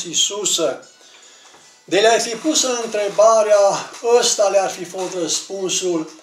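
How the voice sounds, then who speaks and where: A man with a high voice recites devotional verse. His delivery is declamatory and measured, with emphatic phrase endings. He sounds set back from the microphone in a roomy space, with music behind him. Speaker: poetry reciter. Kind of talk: dramatic recitation of religious verse. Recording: roomy distant pickup with music.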